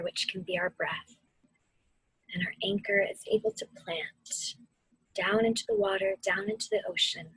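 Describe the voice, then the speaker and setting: A woman with a soft, breathy voice gently guiding children through a mindfulness meditation, soothing and deliberate. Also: mid-range voice, no echo, low-quality recording. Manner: soothing, gentle, deliberate, often dropping to a near-whisper. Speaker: mindfulness instructor and host of a weekly video series.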